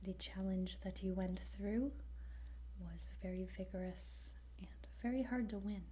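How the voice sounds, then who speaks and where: Soft breathy woman whispering asmr roleplay, really slow with pauses, mellow, bit of background noise. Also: medium pitch. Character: gentle, patient dragon training centre guide. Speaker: ASMR roleplayer playing a dragon trainer.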